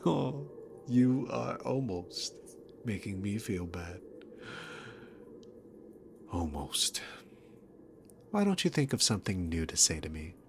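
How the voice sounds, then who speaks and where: A man's voice: on the low side, sing-song, slow pace, vocal fry, long pauses, a little hiss or hum, exaggerated voice. A man voicing a dominant, sarcastic demon king villain in an ASMR roleplay, talking to the listener with mocking, theatrical condescension. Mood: amused, condescending.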